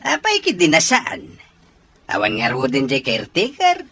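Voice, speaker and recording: A woman's voice: sits low, huge pitch swings, moderate pace, fairly bright tone, husky, no room sound, exaggerated voice. An actress playing a scheming, bossy woman in an Ilocano radio comedy-drama, speaking with an Ilocano accent. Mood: scheming, insistent.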